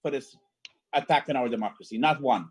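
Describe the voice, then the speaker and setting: A man's voice: mid-range voice, quick pace, husky. A man with a Guyanese accent speaking earnestly and emphatically as a panel guest, repeating phrases as he goes. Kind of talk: political panel discussion.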